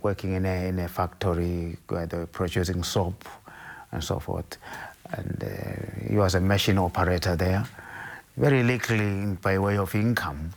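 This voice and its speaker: An older Zimbabwean man with a very low, deep voice. He speaks slowly and carefully in an interview, often pausing and restarting his sentences as he recalls his past. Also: fairly even intonation, vocal fry. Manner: measured, hesitant, with frequent restarts. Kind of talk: interview, recounting his life.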